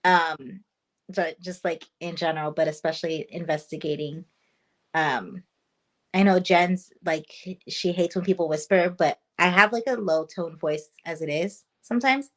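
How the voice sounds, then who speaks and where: Chatty woman guest, quick to laugh. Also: on the low side. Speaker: paranormal investigator guest. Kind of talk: casual interview chat.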